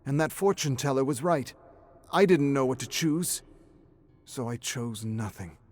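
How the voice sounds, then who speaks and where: A man's voice: mid-range voice, animated, measured pace, darkish tone. A man voicing a lost, self-doubting man stranded alone, emotional and exasperated, in dramatic storytelling dialogue. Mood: anguished confession.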